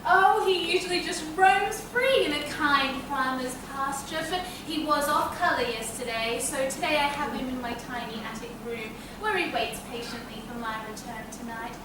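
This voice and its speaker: A woman's voice: high-pitched, moderate pace, hardly any pauses, noisy background, close to an everyday voice. A woman playing a sweet, poor young woman who speaks in a wistful, old-fashioned way. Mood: gentle. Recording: echoey room from far off.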